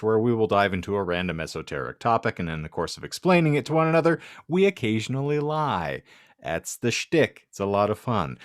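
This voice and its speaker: A man's voice: deep voice, no room sound, studio quality. A man co-hosting a podcast with casual comedic banter in wordy, mock-formal phrasing. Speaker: podcast co-host.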